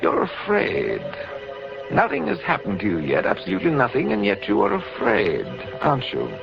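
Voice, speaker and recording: A sinister, coolly taunting villain of 1940s radio drama. His formal, deliberate lines swing widely in pitch with smug menace. The old recording is dull and muffled, with music underneath. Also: wildly swooping pitch, vocal fry, exaggerated voice. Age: middle-aged. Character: tall sinister fifty-year-old kidnapper, coolly taunting experimenter.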